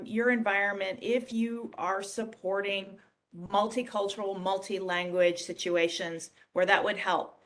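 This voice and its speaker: A woman giving a casual software demonstration in a conversational, explanatory way. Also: medium pitch. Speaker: software demo presenter. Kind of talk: casual screen-share product demonstration.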